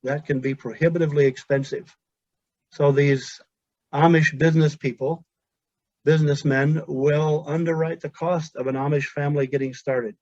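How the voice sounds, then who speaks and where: A male chaplain whose first language is Pennsylvania Dutch, giving a measured, earnest webinar talk with pauses and restarts. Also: on the high side, fairly even intonation, darkish tone, dry recording. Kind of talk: webinar presentation. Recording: dull, dry lo-fi audio.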